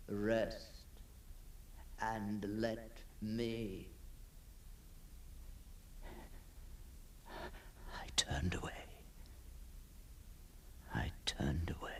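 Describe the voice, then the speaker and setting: Slow, gravelly haunted gentleman. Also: on the low side, slight background noise. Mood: grave, weary. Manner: theatrical, halting, often dropping to breathy whispers. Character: haunted, obsessive gentleman narrating his own ghostly encounter. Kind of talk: dramatic first-person monologue, radio ghost story.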